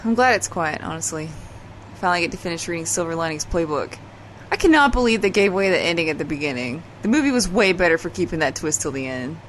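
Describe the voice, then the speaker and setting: A woman's voice: fairly low pitch, animated, fast, slight background noise, lightly acted, poor audio. A woman playing an exasperated, dry-witted character in casual audio drama banter, sardonic and matter-of-fact.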